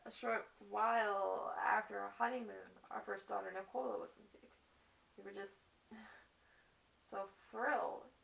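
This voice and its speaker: A young woman performing a dramatic monologue as a lovestruck battered wife, halting and confessional, on a distant mic. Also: medium pitch, gravelly, a bit bright. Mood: happy. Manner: halting, confessional, repeats phrases. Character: lovestruck, battered wife recalling her violent husband.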